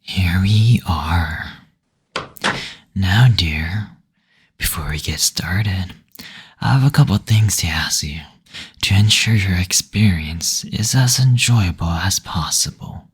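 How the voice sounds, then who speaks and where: A man's voice: sits low, animated, full character voice. A man playing a suave, courtly demon receptionist who teases seductively in an intimate audio roleplay. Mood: seductive, attentive. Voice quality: breathy, husky, near-whispered. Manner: slow, coy teasing with endearments and drawn-out hums.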